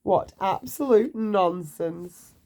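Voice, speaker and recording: A woman's voice: mid-range voice, takes it slowly, a little mellow. A woman reading a children's bedtime story aloud, playful, putting questions to the listener.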